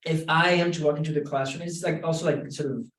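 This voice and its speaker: Man, a bit high, halting with "sort of"s, roomy room from far. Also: fairly even intonation. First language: French. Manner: halting, self-correcting, many "sort of"s. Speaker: graduate language instructor. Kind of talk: roundtable discussion.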